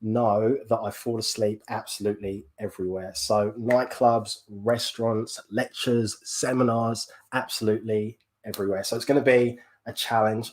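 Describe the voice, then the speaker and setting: A man's voice: on the low side, a little mellow. An upbeat Englishman hosting a live podcast over a video call, enthusiastic and fond of saying "absolutely". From England. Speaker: podcast host. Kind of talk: casual live-stream chat.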